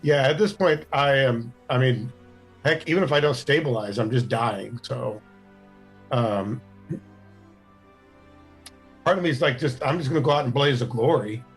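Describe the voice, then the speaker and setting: A man's voice: mid-range voice, moderate pace, pauses often, slightly rough, soft background music. A man playing a tabletop game, chatting casually and thinking his moves through aloud in a hesitant, rambling way.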